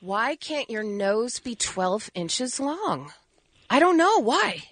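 A woman's voice: on the high side, sing-song, slow, no room sound. A woman co-hosting a morning radio show, chatting bubbly with callers and their kids. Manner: bubbly, laughs easily with callers. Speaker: radio co-host. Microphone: studio mic.

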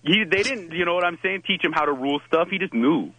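A man's voice: a little high, quick pace, sharp tone, low-quality recording. A man playing a swaggering young male stoner who teaches pot growing, with a rambling, slangy manner. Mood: boastful. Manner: rambling slangy bravado, tags lines with "eh". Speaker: prank caller character.

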